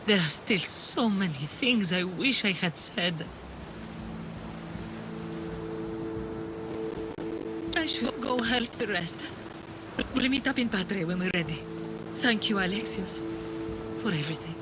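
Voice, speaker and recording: A woman's voice: medium pitch, expressive, normal speed, over music, close to an everyday voice. A woman playing a regretful, grieving parent in a video game drama. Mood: wistful, grateful. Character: sorrowful, regretful parent of a wayward daughter. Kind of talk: video game cutscene acting.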